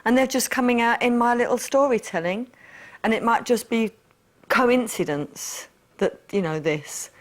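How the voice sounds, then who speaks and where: A woman's voice: on the high side, moderate pace. Woman talking candidly. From East London. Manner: earnest, reflective, repeats herself. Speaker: interview guest. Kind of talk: candid personal interview.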